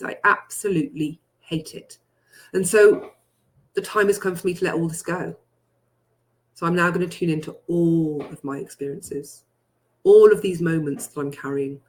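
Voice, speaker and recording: Guided meditation leader with emphatic, repetitive phrasing. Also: mid-range voice. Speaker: guided healing session leader. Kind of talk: guided meditation with repeated first-person affirmations.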